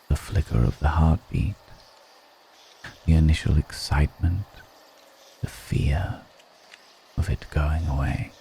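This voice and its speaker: A man playing a brooding, melancholic undead vampire in an intimate ASMR roleplay, speaking in a measured, poetic murmur with pauses between phrases. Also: a little hiss or hum, exaggerated voice. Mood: wistful, musing. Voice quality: very deep, dark, creaky murmur.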